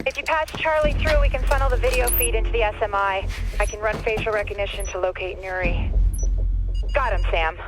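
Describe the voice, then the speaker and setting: A woman's voice: mid-range voice, fairly quick, background noise, close to an everyday voice. An actress playing a composed intelligence operator in a video game, clipped and businesslike as she guides an agent. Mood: focused. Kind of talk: video game cutscene acting.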